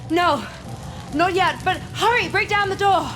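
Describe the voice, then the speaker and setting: An actress playing a grave, resolute rebel leader in a video game, speaking in a formal, measured way. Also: female voice, extremely high pitch, over music. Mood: urgent. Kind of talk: cinematic video game acting. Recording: game ambience noise behind voice.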